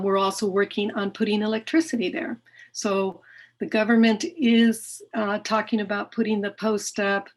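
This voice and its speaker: Middle-aged woman speaker, earnest presenter, mid-pitched voice, talks with frequent fillers and restarts. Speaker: nonprofit founder presenting to a club. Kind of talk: presentation talk with slides.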